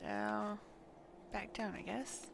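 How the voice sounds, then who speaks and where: A woman livestreaming a game playthrough, reading dialogue aloud in a theatrical, deliberate way with muttered asides. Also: mid-range voice, vocal fry, background noise. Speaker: game streamer.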